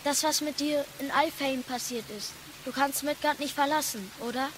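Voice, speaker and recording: A woman acting as an earnest young boy with a high voice. He is curious and a little hesitant, in the style of a dramatic audio play. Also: fairly even intonation, normal speed, noisy background, lightly acted. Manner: hesitant, inquisitive.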